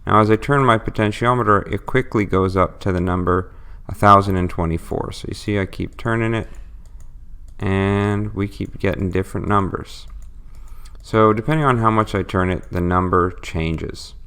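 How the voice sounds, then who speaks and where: A man's voice: on the low side, normal speed, a bit bright, clear, resonant tone. A man teaching a step-by-step electronics tutorial, explaining patiently and thinking aloud as he works. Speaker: electronics instructor.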